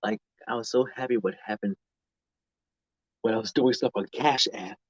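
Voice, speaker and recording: A man's voice: fairly low pitch, normal speed, dry recording, dull audio. A man in his mid-twenties chatting casually to viewers on a live stream outdoors, rambling and often repeating or restarting his phrases.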